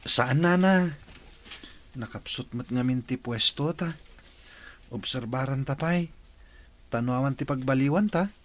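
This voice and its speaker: Man acting a discouraged, respectful son, mid-pitched. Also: unhurried, long pauses, lightly acted. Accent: Ilocano Filipino. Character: Brent, discouraged but respectful adult son. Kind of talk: Ilocano radio drama acting.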